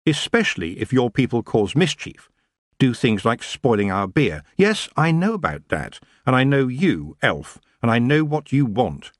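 A man's voice: medium pitch, sing-song, moderate pace, dry recording, studio quality. A man voicing a stern young witch in a storybook, firm and scolding. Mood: stern challenge. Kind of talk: audiobook character voice.

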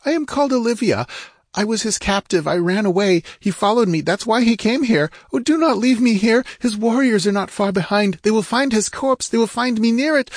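A man's voice: animated, brisk, no echo, clean studio sound. A man voicing a terrified young woman. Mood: pleading. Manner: high, breathless pleading.

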